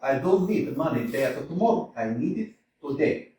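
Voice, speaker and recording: A furious, blustering landowner, played by a man in a stage reading, lurching between muttering and bellowing. His voice sits high and swings wildly. He sounds far from the mic in a very echoey theater with a faint hiss. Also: very animated, brisk. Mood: stubborn. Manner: ranting, exclamatory, swinging between mutters and shouts. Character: irate middle-aged male landowner chasing a debt, blustering. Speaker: actor in a community staged reading. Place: small community theater stage.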